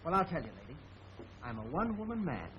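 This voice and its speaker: A man's voice: fairly high pitch, big pitch swings, fast, a bit bright. An actor playing an earnest, bashful young man with a self-deprecating manner in 1930s melodrama style. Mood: joking, bashful. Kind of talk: 1930s melodrama film acting. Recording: muffled, very noisy old film soundtrack.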